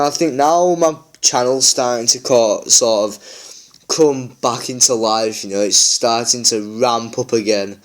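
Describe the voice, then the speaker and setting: A man chatting casually while playing a mobile game, tired and a bit croaky, rambling with lots of fillers. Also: medium pitch. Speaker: gaming YouTuber. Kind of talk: casual gameplay chat.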